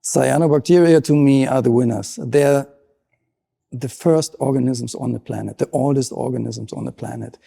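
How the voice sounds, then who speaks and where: A man's voice: medium pitch, steady tone, normal speed, gravelly, dark tone, slightly rough. A middle-aged man with a German accent, a marine scientist explaining his work in an interview with earnest enthusiasm.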